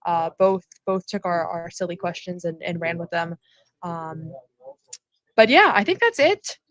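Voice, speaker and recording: A woman's voice: lowish voice, animated, measured pace, fairly bright tone, no room sound. Chatty woman hosting a postgame show. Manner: chatty, rambling with frequent fillers. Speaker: host. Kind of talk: casual postgame chat. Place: arena concourse at a summer league event.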